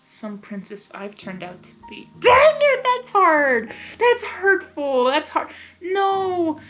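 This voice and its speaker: A woman reading fan fiction aloud as host, excitable and hyper, breaking off for informal reactions. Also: very high-pitched, slow. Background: music.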